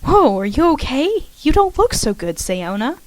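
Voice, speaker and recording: A woman puts on a cheerful young American college girl in an audiobook-style reading, lifted noticeably higher than the narration and bright with eager friendliness. The lines bounce with a lively lilt, recorded dry and close with no room sound. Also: moderate pace, no room sound. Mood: reassuring.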